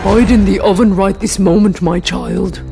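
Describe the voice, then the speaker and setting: A woman's voice: medium pitch, fairly even intonation, normal speed, vocal fry, over music, natural voice. A woman voicing a giant's wife in a storybook, cautious and kindly, with a motherly warning manner. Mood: urgent.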